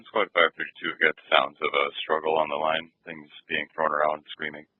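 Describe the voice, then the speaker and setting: A man dispatching police calls over scanner radio in a flat, procedural way. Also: very deep voice, normal speed, breathy edge, dry recording. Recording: tinny phone-like scanner radio audio.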